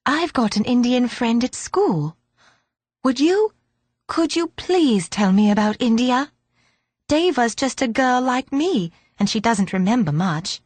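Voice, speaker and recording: A curious, well-mannered young schoolgirl with a high, eager voice, as a woman reading a storybook puts her on. She speaks politely and keenly, and the reading sounds close and dry. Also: lively intonation, unhurried, darkish tone, dry recording.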